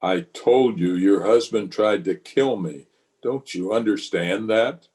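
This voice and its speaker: A man's voice: on the low side, slow, a little mellow. A man playing a grave, conscience-stricken frontier marshal in an old-time radio western, speaking plainly and wearily. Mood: grave, earnest. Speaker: actor and narrator in an amateur radio play. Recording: voice recorded over an internet call.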